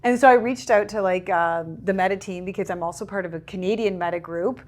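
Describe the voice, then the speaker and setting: A young woman speaks English, her voice sitting at a middle pitch. She chats freely and acts out her own past remarks with a lift in her voice. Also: a little fast. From Portugal. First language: Portuguese. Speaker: content creator guest. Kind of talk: casual interview chat.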